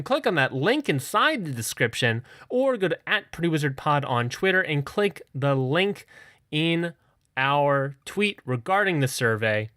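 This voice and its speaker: A man's voice: on the high side, a bit bright, dry recording, clean studio sound. A man making a casual, rambling podcast announcement, jokingly correcting and repeating himself as he goes.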